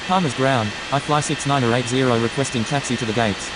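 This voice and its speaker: Man as airline pilot, mid pitched, formal scripted calls, lots of radio hiss. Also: fairly quick, natural voice. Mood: procedural, neutral. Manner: formal, procedural. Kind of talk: scripted aviation radio phraseology. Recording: hissy, noisy radio.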